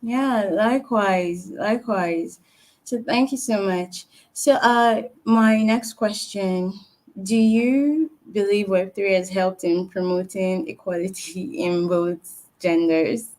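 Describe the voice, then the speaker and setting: A woman hosts an online interview chat. Her voice sits at a middle pitch, and she asks questions at a measured pace with plenty of ums and uhs. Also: darkish tone. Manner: measured, filler-laden question asking. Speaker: host. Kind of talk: casual interview hosting.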